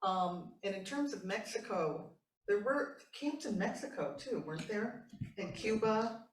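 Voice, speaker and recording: A woman panelist answering an audience question with measured historical detail. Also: mid-range voice, animated, a little hiss or hum. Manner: knowledgeable, measured. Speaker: panelist and moderator. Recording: distant voice in an echoey hall.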